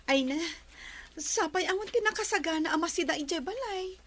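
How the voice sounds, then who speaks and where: Woman acting a very high-voiced, modest Ilocano girl. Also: expressive, fairly quick, fairly bright tone. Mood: hesitant. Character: modest, hopeful, shy young woman. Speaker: actress in an Ilocano radio comedy drama. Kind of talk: comedy radio drama acting.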